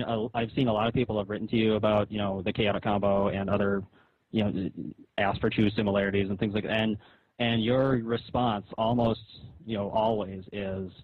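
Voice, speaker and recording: A man's voice: on the low side, no echo. A man on a tinny phone call, arguing his side in a hesitant, conciliatory, reasoning way. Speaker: caller. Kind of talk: phone argument, casual and conciliatory. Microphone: telephone. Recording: narrow, tinny phone line.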